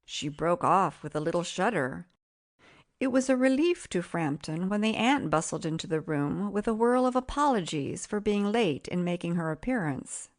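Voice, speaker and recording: A woman reading a literary story as an audiobook narrator, measured and expressive. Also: on the low side.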